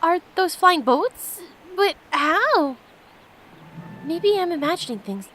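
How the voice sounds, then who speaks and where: A high-pitched, innocent young girl played by a woman, full of curiosity and quick to exclaim. Her voice swoops in an animated storybook-game style. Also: slight background noise, exaggerated voice. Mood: wondering. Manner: wondering, exclaiming.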